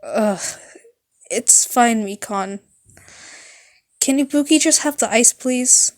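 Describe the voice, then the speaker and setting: Woman playing bubbly rock-star girl, high-pitched, reassuring, breezy, storybook character. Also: slow pace. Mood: aching. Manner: breezy, reassuring, speaks of herself by name. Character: bubbly, kind teenage girl rock star, third-person talker.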